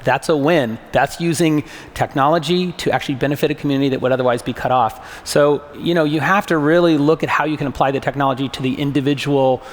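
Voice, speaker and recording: A man's voice: on the high side, steady tone, quick pace, few pauses. A man speaking conversationally as a panelist, with an emphatic, anecdotal way of talking, in an echoey hall. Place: large hall, panel stage. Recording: echoey hall with long reverb.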